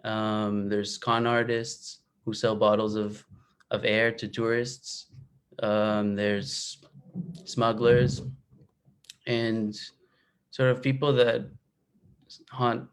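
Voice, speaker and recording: A man in his twenties from Israel, a native Hebrew speaker, talking about his book on a video call with many pauses and fillers. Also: fairly low pitch, clean tone. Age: 20s. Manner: hesitant, many fillers and restarts. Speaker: guest author.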